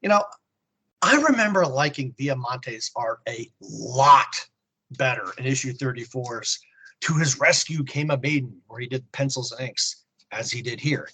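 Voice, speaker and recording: A man co-hosting a podcast, giving prepared comic-book review commentary in a husky voice. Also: mid-range voice, animated, measured pace, sharp tone, low-quality recording. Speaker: podcast co-host.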